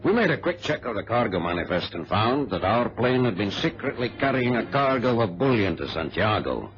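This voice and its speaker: A man's voice: on the low side, sing-song, brisk, soft background music. A man playing a cautious, conscientious adventurer in an old radio drama, in a dramatic style. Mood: grave narrating. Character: cautious, conscientious male adventurer recounting his exploit. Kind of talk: dramatic radio narration and dialogue. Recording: muffled vintage radio recording, faint noise.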